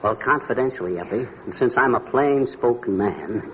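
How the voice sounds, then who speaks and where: An actor playing a bumbling, boastful middle-aged husband in an American 1940s radio comedy, blustery and folksy, with an American accent. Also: male voice, medium pitch, normal speed, close to an everyday voice. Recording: muffled, noisy 1940s radio recording.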